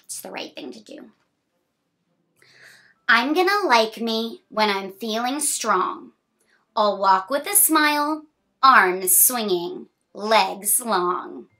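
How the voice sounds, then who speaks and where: A woman in her thirties reading a picture book aloud to children in an expressive, encouraging, sing-song way, with chatty, smiling asides. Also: a little high. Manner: giggly, smiling delivery. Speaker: children's read-aloud host. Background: music.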